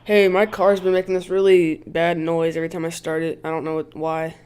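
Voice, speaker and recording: A man's voice: a little high, little pitch movement, a little fast, a little mellow, clean tone. A man making a prank phone call, chatting with an earnest, clueless manner. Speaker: prank caller.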